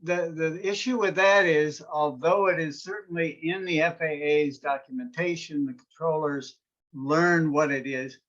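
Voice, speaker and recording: A man hosting an interview, setting up the topic clearly and explaining points. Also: on the high side, fairly even intonation, unhurried, a bit bright, highs cut off. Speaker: interview host. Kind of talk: interview on aviation.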